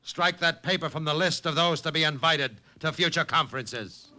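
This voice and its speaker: An actor playing a ruthless, imperious business tycoon in a 1950s radio drama, curt, commanding and impatient. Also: male voice, fairly high pitch, normal speed, pushed and bright, soft background music. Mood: icy command. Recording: vintage 1950s radio recording.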